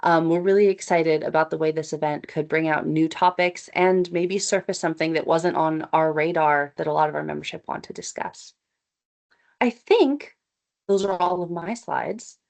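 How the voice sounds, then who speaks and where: Upbeat woman, fairly low voice, presenting chattily on a call. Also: moderate pace, gravelly, darkish tone. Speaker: event organizer presenting. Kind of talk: online meeting presentation. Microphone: computer mic on video call.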